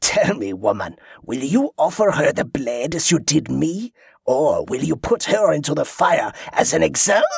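A man voicing a wounded, mocking male pirate prisoner who speaks slowly with a chuckle and a leer. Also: a little high, sing-song, vocal fry, breathy edge, no room sound, clean studio sound, full character voice. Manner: chuckling, slow, leering.

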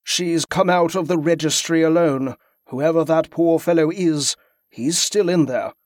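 A man voicing a worried older male abbot who speaks in a measured, thoughtful way. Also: a little high, darkish tone, dry recording, clean studio sound, lightly acted.

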